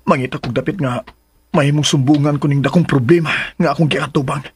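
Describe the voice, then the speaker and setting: A man's voice: fairly high pitch, steady tone, a little fast, husky. An actor playing a troubled young man in a radio drama, brooding aloud over his dilemma. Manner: brooding soliloquy.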